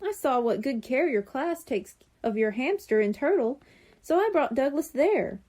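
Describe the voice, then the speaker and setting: A woman voicing a kindly, gentle, rueful older man in a children's audiobook. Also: sits high, lively intonation, normal speed, pitched above the performer's own voice. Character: kindly older man, gentle soon-to-retire school worker.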